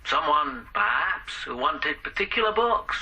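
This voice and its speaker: Sharp, forceful male investigator. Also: fairly high pitch, big pitch swings, a little slow, slight background noise, exaggerated voice, poor audio. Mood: matter-of-fact. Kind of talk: radio drama dialogue.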